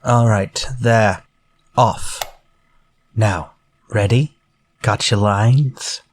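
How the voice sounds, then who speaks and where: An actor playing a menacing, politely theatrical murderer in a retro-style radio drama. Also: male voice, on the low side, steady tone, a little hiss or hum. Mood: coaxing. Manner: courtly, measured, theatrical. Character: menacing murderer from a story, politely coaxing then vengeful.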